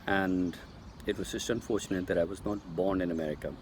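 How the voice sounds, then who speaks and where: A man's voice: low voice, fairly even intonation, a little fast, noisy background. A man from Pakistan with a Pakistani English accent, speaking straight to camera in an earnest, insistent monologue. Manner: earnest, insistent, addresses Americans directly. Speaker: political commentator.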